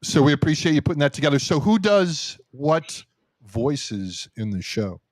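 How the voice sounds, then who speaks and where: A man's voice: a little high, sing-song, moderate pace, no room sound. A man hosting a live online Q&A, loose and casual, swearing and laughing easily. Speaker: host and site founder. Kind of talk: casual live stream chat.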